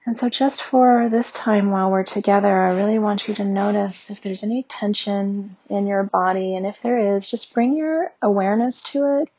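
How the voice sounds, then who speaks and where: A woman leading a guided meditation with slow, soothing, gentle instructions. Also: medium pitch, fairly even intonation, dull audio.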